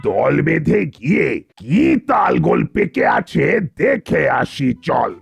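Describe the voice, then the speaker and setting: Wildly swooping, blustery cartoon cop. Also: male voice, fairly high pitch, very animated, takes it slowly, creaky, slightly rough, faint music behind, heavily acted. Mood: bossy, excited. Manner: blustery, bossy. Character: blustering, excitable comic police officer. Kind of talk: comic cartoon dialogue.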